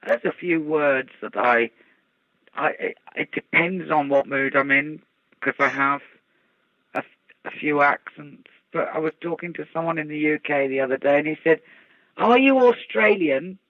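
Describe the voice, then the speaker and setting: Gravelly Brummie woman chatting. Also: sits low, normal speed, no room sound. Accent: Birmingham English. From English Midlands. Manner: chatty, laughs easily. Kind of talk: casual chat. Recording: dull lo-fi dry recording.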